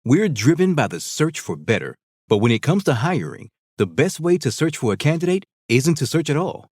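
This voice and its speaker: A man's voice: fairly high pitch, big pitch swings, normal speed, darkish tone, breathy edge, no room sound, clean studio sound. A man reading a scripted sponsor spot in an upbeat, salesy manner. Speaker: ad announcer.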